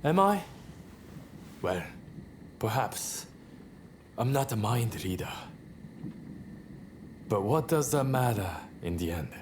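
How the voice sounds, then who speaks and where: A cocky samurai rebel in a dramatic game. He is slow and sardonic, his pitch swinging widely as he teases, with faint background noise behind him. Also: very animated, a little mellow. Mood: coolly playful. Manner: sardonic, drawn-out, playful. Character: cocky, teasing samurai rebel, unruly and nonchalant. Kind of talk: dramatic video game voice acting.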